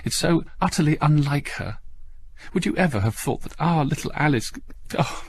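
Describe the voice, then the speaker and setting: A man's voice: on the high side, lively intonation, moderate pace, breathy edge, voice pushed up. A man voicing an anguished middle-aged mother, clinging and pleading, in a whimpering dramatic audiobook voice. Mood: distraught. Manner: emotional, pleading.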